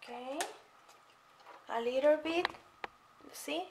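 A woman's voice: high-pitched, takes it slowly. A woman casually reviewing household gloves at home, chatting and demonstrating as she goes. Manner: chatty, demonstrating while explaining. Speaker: product reviewer. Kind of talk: casual product review demo. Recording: echoey room, faint noise.

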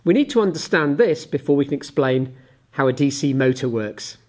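A man's voice: medium pitch, animated, moderate pace, a little mellow. A man in his forties giving an explanatory physics lesson with a live demonstration, methodical and step by step, as a teacher does. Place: indoors, small office at a desk.

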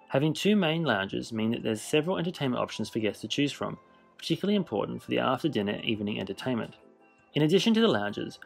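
Gravelly-voiced man narrating a ship tour. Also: mid-range voice. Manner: measured, informative, list-style delivery. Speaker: cruise ship reviewer. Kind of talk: scripted voice-over narration.

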